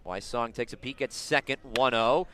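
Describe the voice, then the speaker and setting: A man calling live baseball play-by-play for radio, describing the action in detail and building excitement on big plays. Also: mid-range voice, normal speed. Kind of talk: live radio sports commentary.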